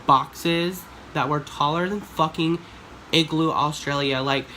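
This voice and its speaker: A woman in her twenties, raspy from a cold, rambling through a profane, sarcastic storytime vlog. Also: sits low, fairly even intonation, unhurried, a bit bright, a little hiss or hum. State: sick with a cold. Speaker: vlogger.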